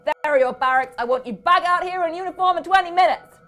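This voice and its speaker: A woman voicing a gruff, contemptuous old male army colonel who barks loud orders. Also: medium pitch, fairly quick. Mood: commanding. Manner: barking shouted orders. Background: music.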